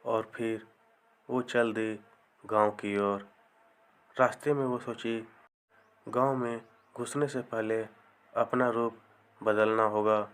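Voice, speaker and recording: Male narrator for spooky-funny folktales: smooth, low voice, very slow measured phrasing. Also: little pitch movement, a bit bright. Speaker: storyteller, narrator. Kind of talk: scripted narration of a comic horror folktale.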